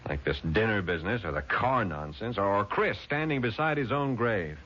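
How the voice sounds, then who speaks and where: A man's voice: lowish voice, lively intonation, normal speed, fairly bright tone. An actor playing a confident, shrewd young male attorney in a 1970s radio drama, wry and insistent in manner. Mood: blunt, insistent. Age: young adult. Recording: dull vintage radio recording with music.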